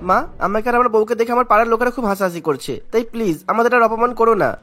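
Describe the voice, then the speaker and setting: A man's voice: very high voice, racing, bright, forceful tone, faint music behind. A man voicing an earnest young son in a cartoon family melodrama, pleading and insistent. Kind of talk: Bengali cartoon melodrama voice acting.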